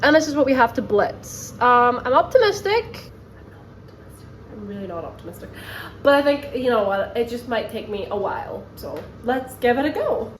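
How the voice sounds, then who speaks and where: A woman's voice: on the high side, very animated, a little fast, a little hiss or hum. A woman vlogging at home in a casual, rambling way, with a stuffy cold. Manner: chatty, rambling with many fillers. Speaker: book vlogger. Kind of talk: casual vlog chat. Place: home kitchen. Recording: echoey room, mic at a distance.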